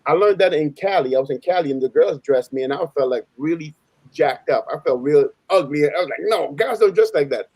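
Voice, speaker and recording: A man with a fairly high voice talks very quickly in a dry, close recording. He is a native Spanish speaker who tells stories in loose street slang. He repeats phrases for emphasis and acts out both sides of a conversation. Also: animated, darkish tone, no echo. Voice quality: slangy, informal street talk. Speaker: panelist on a live open panel. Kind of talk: casual animated storytelling.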